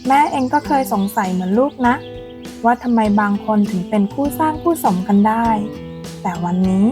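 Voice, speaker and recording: A woman playing a gentle, loving mother, tenderly and reflectively telling memories to her daughter in a storybook. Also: fairly high pitch, expressive, fairly quick, music in the background, close to an everyday voice.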